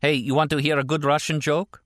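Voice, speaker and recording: A man's voice: on the high side, level tone, a little fast, a bit bright, no room sound, clean studio sound, pitched above the performer's own voice, lightly acted. A man voicing a tender, teasing, anxious woman in an audiobook novel. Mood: hopeful, teasing. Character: frightened, teasing, tender American woman on a phone call.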